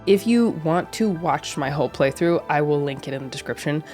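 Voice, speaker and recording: Young woman, low voice, stuffy from a head cold, casual earnest streamer commentary, faint music underneath. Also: lively intonation, a little fast, a little mellow. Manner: earnest, rambling, many restarts and fillers. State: head cold, a bit nasal. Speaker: streamer and video creator. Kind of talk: casual reflective commentary to camera. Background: faint background music.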